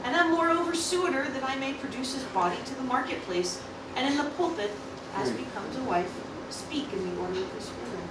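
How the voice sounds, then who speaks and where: A woman's voice: sits high, animated, brisk. A woman playing a grieving cartoon wife and mother in an amateur Shakespeare parody radio play, declaiming theatrically. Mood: measured. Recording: echoey room, distant mic, clear noise.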